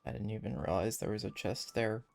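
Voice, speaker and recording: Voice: mid-range voice, a little fast, creaky. A game streamer giving casual gameplay commentary, musing aloud to themself while playing. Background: game music.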